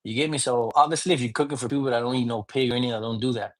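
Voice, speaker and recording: A man talks through spiritual practice in a loose, conversational teaching style. He drops Spanish words into his English and keeps checking in with "you get me?" His pitch is in the ordinary middle range. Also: very fast. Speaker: priest teaching divination. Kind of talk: casual teaching talk.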